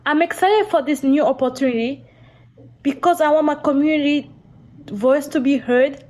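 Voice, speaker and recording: A woman from the Democratic Republic of the Congo and a community outreach worker, giving a steady, scripted video introduction. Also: sits high, normal speed.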